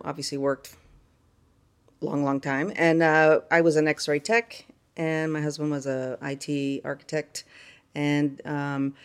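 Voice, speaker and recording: A mature woman speaks as an interview guest. She tells her own story casually, in a halting way, pausing often with ums and you-knows. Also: low-pitched, smooth. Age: middle-aged or older. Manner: halting, frequent pauses with um and you know.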